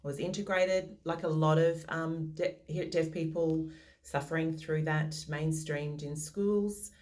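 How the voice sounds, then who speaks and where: Low-voiced modest woman answering. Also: fairly even intonation, measured pace, a little mellow. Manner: modest, thoughtful, some restarts. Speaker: interviewee. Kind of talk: reflective interview answers. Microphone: video call.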